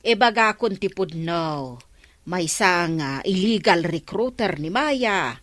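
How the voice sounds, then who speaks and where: Animated, lowish-voiced councillor. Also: female voice, slow, no room sound. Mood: explaining. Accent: Ilocano. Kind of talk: Ilocano radio drama acting.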